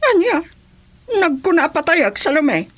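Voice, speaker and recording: A woman's voice: high-pitched, big pitch swings, measured pace. A woman with an Ilocano accent playing a bossy, brazen female rebel commander in a comedic radio drama. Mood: scheming.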